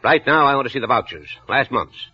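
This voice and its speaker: An actor playing a stern, pompous, irascible office boss in old radio drama style, curt and scolding, on a muffled vintage broadcast. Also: male voice, medium pitch, animated, fairly quick, slight background noise, lightly acted. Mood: brusque, stern. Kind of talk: 1940s radio drama acting.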